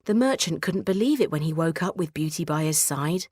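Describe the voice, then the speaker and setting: A woman narrates a children's bedtime story in a measured, expressive way. Her voice sits a little low, and the recording is very dry with no room sound. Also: slightly rough, no room sound.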